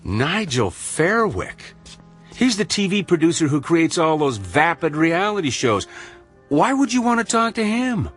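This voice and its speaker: Animated, earnest, challenging colleague. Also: male voice, on the high side, unhurried, soft background music, exaggerated voice. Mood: sceptical, sardonic. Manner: probing, moralising, sardonic. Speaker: actor in a radio drama. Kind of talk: radio drama acting.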